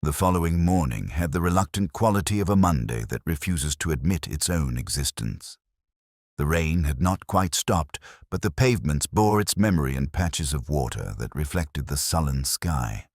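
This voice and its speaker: Very deep, brooding detective narrator played by a man. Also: expressive, dry recording, studio quality, full character voice. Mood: melancholy. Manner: measured, brooding, wistful. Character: weary, erudite, melancholy male police detective. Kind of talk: first-person literary scripted narration.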